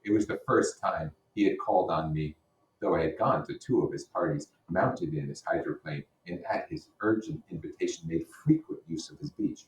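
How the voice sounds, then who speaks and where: Male teacher, deep voice, reads literature aloud with explanatory asides; roomy sound, mic at a distance. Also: lively intonation, moderate pace. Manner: explanatory, puts rhetorical questions to listeners. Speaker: teacher reading a novel aloud. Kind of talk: read-aloud with explanatory comments. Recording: roomy echo, distant microphone.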